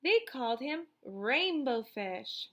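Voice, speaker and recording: A woman in her thirties reading a children's storybook aloud, expressive, deliberate and emphatic. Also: high-pitched. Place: small room at home, indoors.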